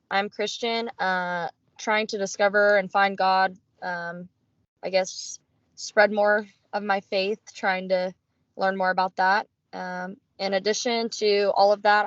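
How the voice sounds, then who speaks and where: A woman's voice: medium pitch, dry recording. A woman of twenty-one from Texas giving a casual self-introduction as a student on a podcast. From Fort Worth, Texas, United States. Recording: muffled lo-fi dry audio.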